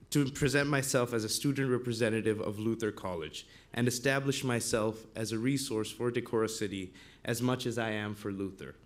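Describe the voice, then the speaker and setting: A young man from Bangladesh in his twenties, giving a formal prepared speech as a student representative at a council meeting. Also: medium pitch. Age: 20s. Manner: formal, measured delivery of a written speech. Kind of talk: prepared public address. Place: council chamber.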